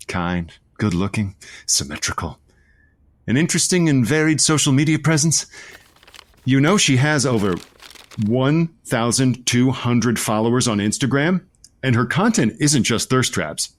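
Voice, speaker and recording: A man playing a falsely contrite rival in an audio comedy, talking smoothly with sly, pointed insinuation. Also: medium pitch, lively intonation, measured pace, dry recording, natural voice. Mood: sly, insinuating. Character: Avery Vestibule, slyly needling male rival, falsely contrite. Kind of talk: audio comedy drama dialogue.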